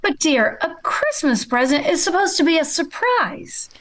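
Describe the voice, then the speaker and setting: A woman playing a patient, practical middle-aged mother, gently insistent, in vintage radio sitcom style. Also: high-pitched, sing-song, normal speed.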